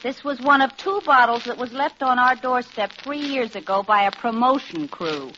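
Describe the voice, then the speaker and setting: An actress playing a professor's wry, teasing wife in a vintage radio sitcom, deadpan and amused as she needles her husband. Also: on the high side, normal speed. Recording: muffled old radio recording, faint hiss.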